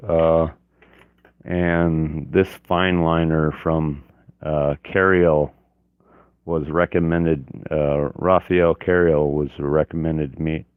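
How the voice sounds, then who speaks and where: Slow, hoarse man with a very low voice. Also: little pitch movement, no room sound, narrow, tinny audio. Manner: halting, many fillers. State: hoarse from a cold. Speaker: art channel host. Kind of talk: casual livestream chat. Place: home office. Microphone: external mic on camera.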